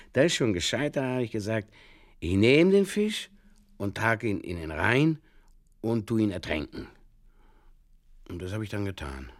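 Gravelly, muddled Bavarian man. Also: on the low side, dry recording, studio quality. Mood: agitated, deliberating. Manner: halting, circuitous, literal-minded, over-explaining. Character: rambling, earnestly muddled Bavarian fishkeeper telling absurd tales. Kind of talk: absurd comic monologue for radio.

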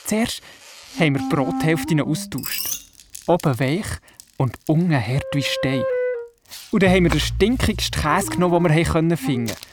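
A performer playing an excitable young boy who retells his dream vividly and naively in a children's radio play. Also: medium pitch, moderate pace, close to an everyday voice. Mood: mischievous. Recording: music behind the voice. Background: music.

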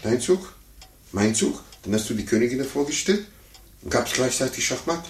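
An actor playing a pompous, condescending sore loser, stammering and blustering in a 1950s radio play. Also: male voice, mid-range voice, animated, moderate pace, close to an everyday voice. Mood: flustered, blustering. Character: pompous adult man, a blustering, sore-losing chess player. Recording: roomy, noisy 1950s radio recording.

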